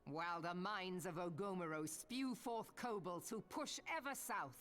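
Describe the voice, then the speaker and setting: An actress playing a stern, commanding pirate admiral in a video game, rousing a crew with grave, formal seafaring oratory. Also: female voice, lowish voice, unhurried, fairly bright tone, soft background music. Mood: grave, resolute. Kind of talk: dramatic oratory in archaic seafaring speech.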